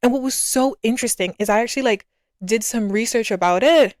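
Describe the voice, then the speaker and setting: Casting: woman, early twenties, from Barbados, smooth clear voice, casual reflective podcast host, close dry sound. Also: on the high side, a little fast, dry recording.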